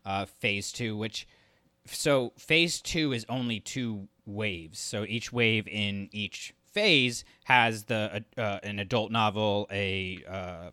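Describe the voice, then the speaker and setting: A man's voice: on the low side, sharp tone, dry recording, clean studio sound. A man co-hosting a podcast in casual, jokey, rambling chat. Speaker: podcast co-host.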